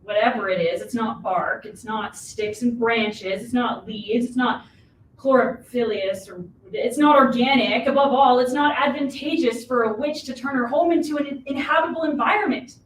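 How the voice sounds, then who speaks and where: A woman's voice: a little high, normal speed, natural voice. A woman acting a blunt, argumentative nun who questions her role, in a live reading of a comic play. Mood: challenging. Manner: blunt, matter-of-fact, argumentative. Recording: distant, echoey room recording with hiss.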